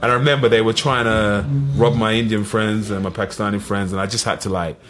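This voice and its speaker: A middle-aged man with an East London accent, telling lively stories as a podcast guest, full of "you know what I mean". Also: fairly low pitch, quick pace. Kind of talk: casual storytelling.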